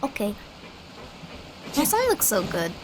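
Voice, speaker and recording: A woman's voice: fairly high pitch, wildly swooping pitch, unhurried, noisy background. A young woman streamer giving casual, chatty gameplay commentary, rambling and musing aloud. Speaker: game streamer. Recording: game music behind the voice. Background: game music.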